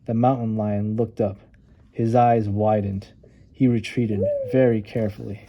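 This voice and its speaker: A man narrating a children's storybook with expressive, dramatic emphasis on key words. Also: lowish voice, measured pace, dull audio. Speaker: storytime reader.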